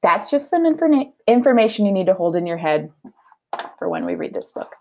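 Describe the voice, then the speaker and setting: A woman teacher giving a children's read-aloud lesson, explaining her thinking in an expressive, conversational way. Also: mid-range voice, a little fast, a little hiss or hum. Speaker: elementary teacher.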